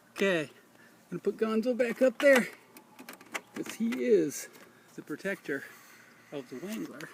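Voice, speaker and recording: Higher-voiced folksy man in noisy vehicle recording. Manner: folksy, thinking aloud while working. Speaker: DIY demonstrator. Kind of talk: casual hands-on how-to vlog. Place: inside a parked Jeep.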